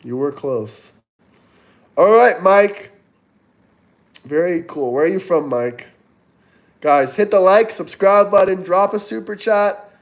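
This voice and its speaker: A man's voice: sits high, lively intonation, takes it slowly, pauses often. Man in his twenties hosting a livestream. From Florida, US. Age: 20s. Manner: reads out and answers viewer chat, urging likes and tips. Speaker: livestream host. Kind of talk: casual livestream chat.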